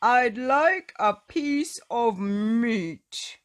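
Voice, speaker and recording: Woman acting booming, slow-roaring storybook loud man. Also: lively intonation. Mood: booming, shouting. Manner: roaring, shouting, drawn-out words.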